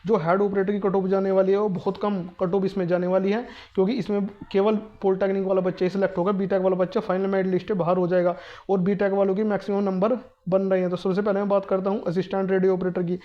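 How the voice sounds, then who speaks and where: A man's voice: sits high, flat delivery, fast talker, highs cut off. A man explaining exam information to students informally, in a repetitive, insistent, emphatic manner. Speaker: exam prep presenter.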